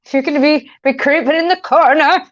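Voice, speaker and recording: A woman's voice: high voice, a little fast, no echo, muffled recording. A woman livestreamer chatting casually while tinkering, in a hesitant, rambling way with playful asides. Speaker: livestreamer, host.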